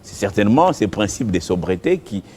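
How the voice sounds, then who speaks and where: A man with a Congolese accent hosting a conversational interview, putting his questions in a halting, drawn-out way. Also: on the low side, very animated, darkish tone, slightly rough. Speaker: interview host.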